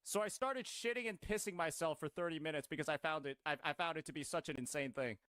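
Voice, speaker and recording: A man's voice: a little high, fast, a bit bright, clean tone, no room sound. A man talking casually. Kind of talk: casual talk.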